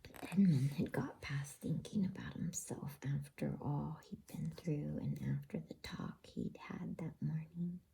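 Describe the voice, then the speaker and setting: A woman reading a bedtime story in ASMR style, with a hushed, breathy, husky whisper and an unhurried manner. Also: deep voice.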